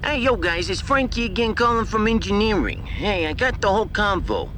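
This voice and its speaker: A chummy working-class ship's engineer chatters in cheeky slang. The voice is extremely high and bouncy, over a faint rumble in a sci-fi audio drama. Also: male voice, expressive, a little fast. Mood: chummy, pleading.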